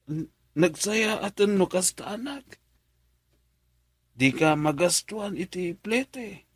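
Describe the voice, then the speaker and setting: A gentle, thrifty father in a radio melodrama. His voice is high for a man and unhurried, recorded close and dry. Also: lively intonation, husky, no echo, exaggerated voice. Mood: mild, approving. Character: gentle, thrifty parent advising their child. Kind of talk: melodramatic radio drama acting.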